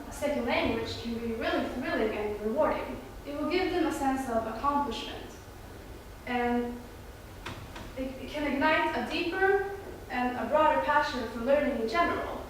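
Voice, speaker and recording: High-voiced woman in an echoey room. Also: moderate pace. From India. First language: Hindi. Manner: addresses the audience with questions. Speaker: student giving a speech. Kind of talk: persuasive class speech. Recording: echoey room from far off, faint noise.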